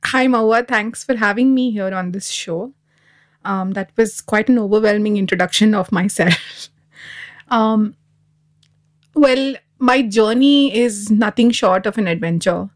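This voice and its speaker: A woman's voice: medium pitch, measured pace. Woman, thirties, from Dubai, mid-range voice, candid, reflective, personal storytelling, interview guest. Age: 30s. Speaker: podcast guest, artist.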